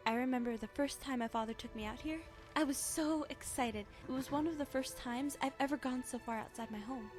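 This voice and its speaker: A woman's voice: high voice, a little fast, few pauses, close to an everyday voice. A woman voicing a troubled young heroine over music. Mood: wistful. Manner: wistful, soft-spoken, earnest. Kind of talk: game cutscene voice acting.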